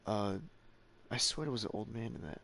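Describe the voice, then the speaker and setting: A man's voice: on the low side, fairly quick, creaky, a bit bright, a little hiss or hum. A man giving casual, exclamatory reaction commentary as a game streamer. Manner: informal, exclamatory.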